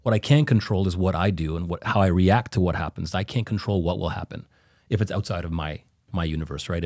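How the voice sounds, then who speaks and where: Fast, emphatic low-voiced man. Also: mellow, no room sound, studio quality. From Canada. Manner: emphatic, motivational. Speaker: real estate agent. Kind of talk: casual panel debate.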